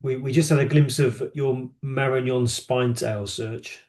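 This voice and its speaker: A man acts as host of an online roadshow on a video call. His voice sits at an average pitch, and he talks casually and politely, with a slightly hesitant, conversational delivery. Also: steady tone, unhurried, darkish tone. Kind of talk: casual hosting chat.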